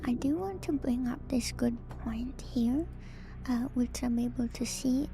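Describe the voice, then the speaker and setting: A woman whispering softly and breathily into a close mic, giving musing, hesitant ASMR commentary on a livestream. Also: sits high, slight background noise, rough recording. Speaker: livestreamer host. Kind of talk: whispered ASMR video game lore commentary.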